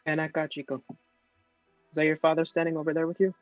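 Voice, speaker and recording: A scornful, questioning official barks at a boy over his papers, as a man reads the part at a table read. His voice sits a bit high and sounds dry and dull, as if recorded close with a cheap mic. Faint music plays behind him. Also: monotone, a little fast, no echo, close to an everyday voice. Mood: mocking. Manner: sneering, interrogating. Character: hostile male Cuban airport official.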